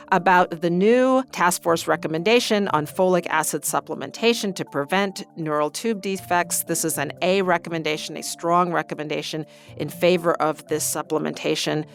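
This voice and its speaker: A woman hosting an interview podcast, asking structured questions and recapping the answers plainly. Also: on the low side. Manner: clear, structured, recapping what was said.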